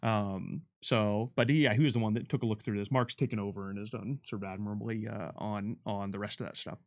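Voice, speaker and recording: Man chatting casually, halting and restarting. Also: lowish voice, fairly even intonation. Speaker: game developer giving commentary. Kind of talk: casual unscripted developer chat.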